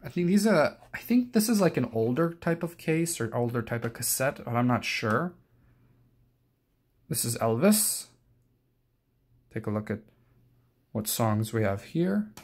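Young man, hesitant and rambling. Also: medium pitch. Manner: hesitant, rambling, self-correcting. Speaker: collector presenting his cassette collection. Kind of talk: casual unscripted show-and-tell.

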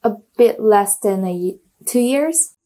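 Young Korean-accented woman, halting. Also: mid-range voice, expressive, dark tone. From South Korea. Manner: many fillers and restarts, searching for words. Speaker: guest, designer. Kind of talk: casual interview chat. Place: small home room with bookshelves. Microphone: earphone mic on video call.

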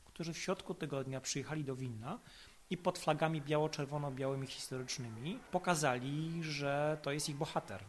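A man speaking as an expert commentator in an analytical podcast interview, explaining in an articulate, measured way. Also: medium pitch, a little hiss or hum.

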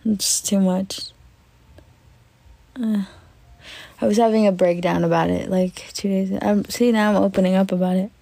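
A woman in her twenties chatting casually on a livestream, lying in bed and answering comments with lots of fillers, in a voice she calls deep. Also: level tone, moderate pace, creaky, long pauses, dark tone, no echo. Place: bedroom, lying on a bed indoors. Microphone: phone at arm's length.

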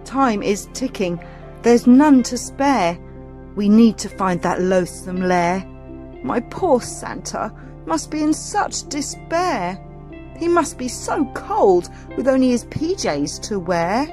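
A woman's voice: a little high, sing-song, takes it slowly, darkish tone, faint music behind, voice pushed up. A woman voicing Santa's determined, distressed wife in an earnest, pleading, emphatic storybook manner. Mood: urgent.

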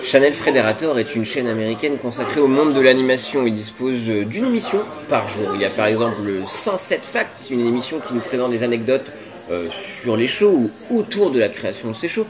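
A man in his twenties co-hosts a casual web show. His voice sits at an average pitch, with a slangy, tongue-in-cheek delivery that hesitates now and then. Music plays clearly behind him. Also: animated, brisk. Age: 20s. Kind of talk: casual scripted chat to camera. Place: busy diner booth, indoors.

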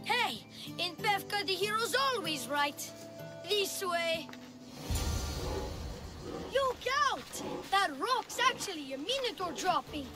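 A cocky young boy tour guide in a video game, selling with nonstop confident patter. The voice sits very high, and game music runs clearly underneath. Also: lively intonation, slow, long pauses, full character voice. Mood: salesy.

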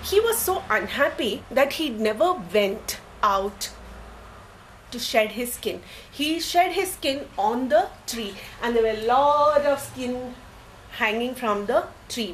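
A middle-aged woman telling a story to children in a large hall, animated and asking them questions. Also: a little high, a little hiss or hum.

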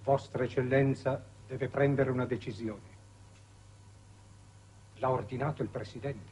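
A man playing a stern, coldly dutiful military colonel with a husky voice, formal and commanding, in 1960s Italian television drama. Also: medium pitch, steady tone, unhurried, darkish tone, slight background noise, natural voice. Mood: cold, pressing.

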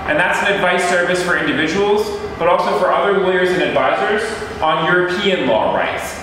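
A man, giving a conversational seminar lecture as a guest speaker to a class. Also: mid-range voice. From United States. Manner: conversational, inviting questions. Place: classroom with audience. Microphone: far room camera. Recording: echoey room from far off with hiss.

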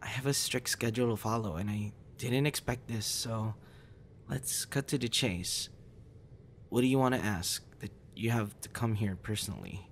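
A young man acting a busy, guarded friend in an intimate audio roleplay, with halting, stammering delivery. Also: fairly low pitch, slight background noise, lightly acted. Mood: curt, guarded.

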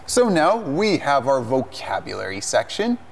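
A man's voice: mid-range voice, very animated, moderate pace, gravelly, slight background noise. A young man, a native English speaker, co-hosting a televised English lesson from a studio set in a friendly, upbeat way. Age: young adult. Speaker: English teacher co-host.

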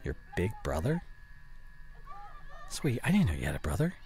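A young man playing an anxious, regretful boyfriend in an intimate audio roleplay, speaking haltingly with sighs and whispered words. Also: low-pitched, wildly swooping pitch, darkish tone, soft background music. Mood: tender concern. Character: regretful, anxious boyfriend tenderly consoling his girlfriend.

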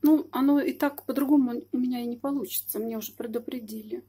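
A middle-aged woman chatting to her phone camera by a high-rise apartment window, rambling and matter-of-fact. Her voice sits a bit high but sounds mellow and very husky, with muttered asides dropped in. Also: steady tone, quick pace. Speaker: vlogger. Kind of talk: casual personal monologue. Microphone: phone camera pointed out the window.

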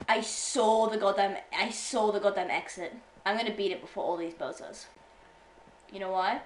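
A woman's voice: mid-range voice, fairly even intonation, normal speed, a little hiss or hum. A teenage girl streaming a game, chatting casually and teasingly with many fillers. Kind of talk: casual gaming stream chat. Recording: echoey room, faint music.

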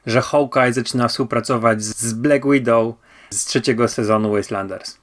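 A man's voice: medium pitch, steady tone, normal speed. A man co-hosting a podcast, chatting through a review with frequent stammered restarts and repeated words for emphasis. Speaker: podcast host. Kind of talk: casual review discussion.

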